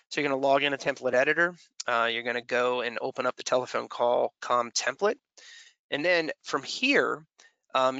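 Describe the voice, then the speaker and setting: Gravelly male software trainer, crisp and close. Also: medium pitch, dry recording, rough recording. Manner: informal, step-by-step explaining with frequent check-in tags. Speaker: webinar trainer. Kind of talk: software tutorial walkthrough.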